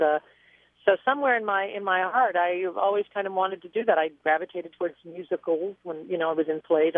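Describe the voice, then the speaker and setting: A woman's voice: fairly low pitch, no echo. A woman singer telling stories as a guest in a radio interview, chatty and reflective, heard over a phone line.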